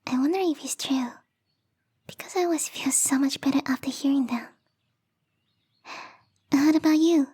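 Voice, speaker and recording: Woman as a high, whispering, bubbly young snow spirit. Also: normal speed, pauses often, lightly acted. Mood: bubbly, chatty. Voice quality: soft, breathy whisper. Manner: bubbly, giggly, coy rambling. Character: bubbly, lovestruck young female snow-spirit yuki onna, lonely and rambling. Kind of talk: ASMR roleplay, whispered and intimate.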